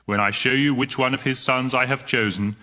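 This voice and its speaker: An actor playing God in a dramatized scripture reading, with a firm, authoritative delivery. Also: male voice, mid-range voice, steady tone, brisk, sharp tone, close to an everyday voice. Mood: firm instruction.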